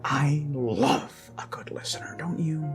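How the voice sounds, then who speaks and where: A performer of unclear sex improvising an ASMR roleplay as an obsessed kidnapper who dotes menacingly on a captive, halting and slipping into whispers. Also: mid-range voice, lightly acted. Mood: soft menace. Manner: slow, halting, lapsing into whispers and giggles. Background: eerie background music.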